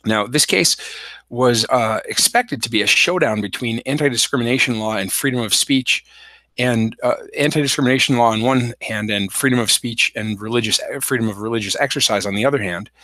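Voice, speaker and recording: A man hosting a solo legal explainer livestream, hoarse from a cold, talking with many fillers and restarts. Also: mid-range voice, brisk, bright, forceful tone, dry recording. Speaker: podcast host.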